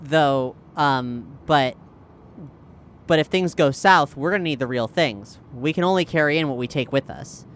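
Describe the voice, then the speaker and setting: A man chatting casually as a tabletop game player, thinking aloud in a halting way. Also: mid-range voice, a bit bright.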